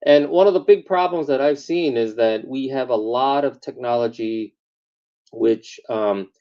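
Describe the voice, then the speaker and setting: A man who came from Korea as a child and grew up in New York City, answering interview questions as a tech founder, pausing often with uh and um. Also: mid-range voice, mellow, lo-fi sound. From Korea, raised in New York City. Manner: hesitant, many uh and um fillers. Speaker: tech company founder, guest. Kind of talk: interview answers on a remote call.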